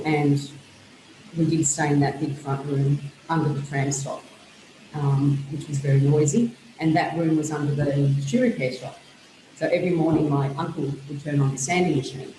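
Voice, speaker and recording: A woman's voice: deep voice, warm tone, echoey room, slight background noise, low-quality recording. A woman author, whose was Greek, thoughtfully recalling her childhood in an interview. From Australia. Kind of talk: reflective interview answers.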